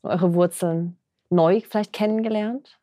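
A woman hosting a podcast interview, chatty and quick to laugh. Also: fairly low pitch, fairly even intonation, warm tone, no room sound, studio quality. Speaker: podcast host. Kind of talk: conversational interview.

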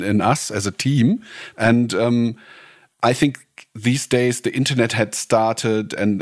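A man's voice: fairly low pitch, dry recording, clean studio sound. A man, a venture executive guest, recalling past experience in a reflective way with restarts and fillers. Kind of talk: casual podcast storytelling.

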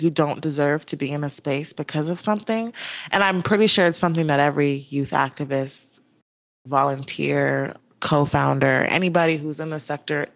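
A woman's voice: deep voice, no room sound. A young woman hosting a podcast interview, talking in a casual, chatty way full of "like" and "you know". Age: young adult. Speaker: podcast host.

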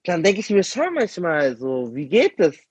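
A man's voice: high-pitched, wildly swooping pitch, moderate pace, a little mellow, husky, no room sound. A young man in a casual group discussion, thinking aloud with rambling restarts. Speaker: panel guest.

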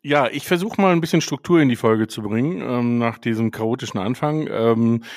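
A man's voice: medium pitch, normal speed, a little mellow, dry recording. Chatty male podcast host, dry sound. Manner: chatty, teasing, hesitant starts. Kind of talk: casual podcast chat.

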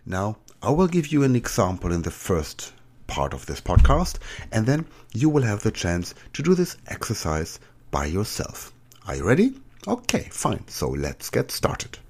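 A native German man leads a language lesson podcast, explaining the method and then tossing off playful practice answers. His voice swings widely and expressively in pitch while he keeps the lesson moving. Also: unhurried, breathy edge. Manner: lively, jokey asides in answers. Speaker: language teacher and podcast host.

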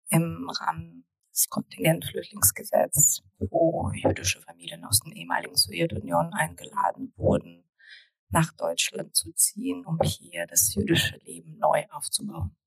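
Podcast guest, woman, thirties, from Ukraine; unhurried and reflective, some vocal fry. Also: lowish voice, big pitch swings, dry recording, rough recording. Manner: thoughtful, many pauses and fillers. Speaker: guest, educator. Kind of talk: personal storytelling in a podcast interview.

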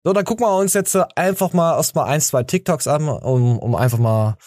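A man's voice: on the high side, brisk, a little mellow, dry recording. A man hosting a podcast, joking and teasing in casual chat, with many fillers and restarts. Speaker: podcast host.

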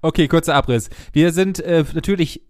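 A man's voice: on the high side, brisk, a little mellow, clean tone, no echo, clean studio sound. A man in his thirties chatting casually, rambling through stories with many fillers, restarts and frequent laughs. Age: 30s. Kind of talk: casual chat.

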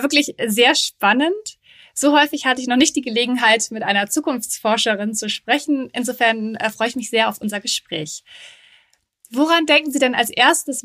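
A woman's voice: on the high side, a bit bright. A woman hosting a podcast interview, reading friendly, scripted introductions and asking questions. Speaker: podcast host.

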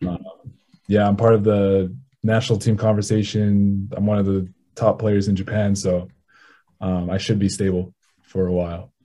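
A young man from Hawaii answers questions in a low, mellow voice. He speaks hesitantly and softly, trailing off with ums between short answers. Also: flat delivery, normal speed. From Hawaii, United States. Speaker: professional basketball player, caller. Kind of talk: casual call conversation.

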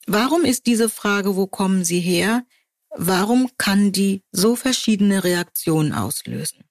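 A woman from Germany co-hosting a podcast, telling stories wryly and quoting people in lively voices. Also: medium pitch, measured pace, dry recording, clean studio sound. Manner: wry storytelling, quoting others in lively voices. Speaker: podcast co-host. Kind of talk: casual conversation.

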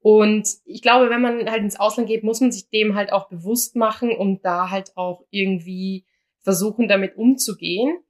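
A woman's voice: mid-range voice, fairly even intonation, normal speed. A young woman from Austria, co-hosting a casual podcast and telling stories with quoted dialogue. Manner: chatty, anecdotal, acts out quoted dialogue. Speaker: podcast co-host.

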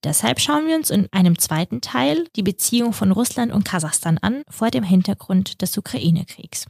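A young woman from Kazakhstan, a native Russian speaker, calmly explaining in a podcast conversation. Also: mid-range voice, moderate pace, gravelly, no echo, clean studio sound. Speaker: podcast co-host.

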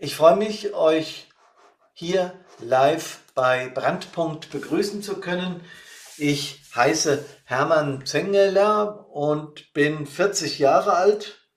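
A man's voice: fairly high pitch, takes it slowly. A man, hosting a podcast in a casual, friendly way with frequent fillers. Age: 60s. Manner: chatty, warm-hearted, with frequent fillers. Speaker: podcast host and fire service trainer. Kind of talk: casual podcast talk and live session.

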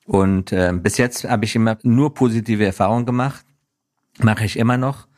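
A man from Afghanistan speaking as an interview guest, reflecting earnestly in conversational answers with halting pauses and self-corrections. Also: on the low side, darkish tone. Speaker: interview guest, business professional.

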